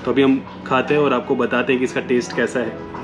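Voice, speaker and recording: A man in his thirties, chatting casually as a food vlogger in a relaxed way, filmed on a handheld action camera. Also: medium pitch, music in the background. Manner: relaxed and chatty, with filler pauses. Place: airplane-themed restaurant, indoors and outdoors. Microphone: action camera held at arm's length.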